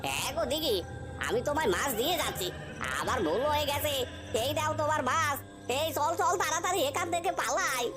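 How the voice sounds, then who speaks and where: A woman voicing an anxious, talkative village fisherman in a cartoon story, with a hurried, fussing manner. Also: high-pitched, expressive, music in the background. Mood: fearful.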